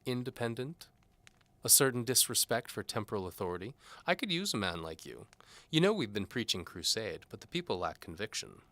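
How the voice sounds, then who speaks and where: A man's voice: fairly low pitch, big pitch swings, a little fast, a bit bright, no room sound, pitched above the performer's own voice. A man playing a zealous, pompous older medieval bishop who speaks in a didactic, commanding way in an audio drama. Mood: persuasive. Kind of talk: audio drama character acting.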